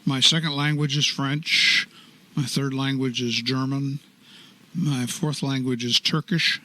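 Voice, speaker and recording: A man's voice: medium pitch, level tone, measured pace, sharp tone, rough recording. An older man, a choral director, giving a genial radio studio interview and telling stories with a chuckle. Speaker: choral society artistic director, guest.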